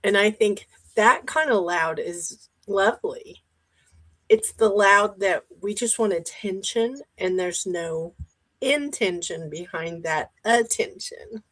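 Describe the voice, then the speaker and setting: A woman's voice: mid-range voice, slow pace, a bit bright, rough recording. Enthusiastic woman guest on a panel.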